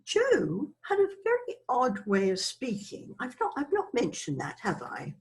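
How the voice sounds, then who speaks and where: A woman's voice: on the high side, huge pitch swings, measured pace. An actress playing a chatty, frivolous older British woman who rambles through her memories in a theatrical dramatic monologue. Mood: rambling, amused. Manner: rambling, frivolous, digressive, self-excusing. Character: chatty, scatty older Englishwoman named Isabella, reminiscing.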